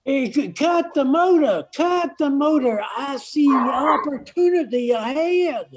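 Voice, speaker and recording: A slow, slightly high voice. An older woman plays a cocky, scheming bayou bandit in a radio drama, always sizing up an easy haul. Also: animated, very noisy. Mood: sly, urgent. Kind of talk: radio play acting.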